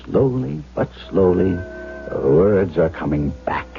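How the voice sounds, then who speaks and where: An actor playing an earnest, coaxing German doctor in a 1970s radio drama, deliberate and theatrical in his delivery. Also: low voice, lively intonation, creaky, soft background music, exaggerated voice. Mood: brooding narration. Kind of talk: 1970s radio drama acting with diary narration. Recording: tinny phone-like 1970s radio broadcast.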